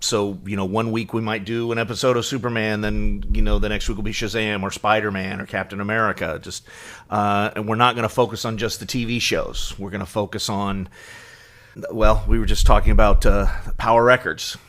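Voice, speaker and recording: A middle-aged man co-hosting a podcast, explaining things casually as an enthusiastic fan, often in halting lists. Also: fairly low pitch, flat delivery, fairly bright tone. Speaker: podcast co-host.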